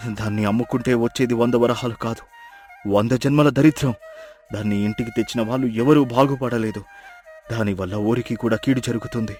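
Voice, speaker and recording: A man's voice: mid-range voice, fairly even intonation, fairly quick, darkish tone, faint music behind, natural voice. A man playing an old, starving wandering mendicant, plaintive and mysteriously knowing, in acted moral story dialogue. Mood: warning. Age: older. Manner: pleading, plaintive, ominous.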